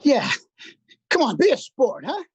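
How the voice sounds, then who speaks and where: A woman's voice: high-pitched, big pitch swings, unhurried, darkish tone, husky, highs cut off, heavily acted. A woman voicing Mister Lyons, a brash, crude, cowardly man who keeps cracking wise, in a livestreamed script reading. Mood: wisecracking.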